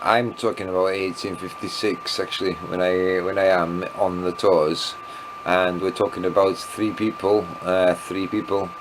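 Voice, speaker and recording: A man's voice: deep voice, little pitch movement, moderate pace, noisy background. A man with a Welsh accent, a native Welsh speaker, rambling through an evening video diary, a little tipsy and often losing his thread. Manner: meandering, loses his thread, self-deprecating asides. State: tipsy after wine. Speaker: vlogger.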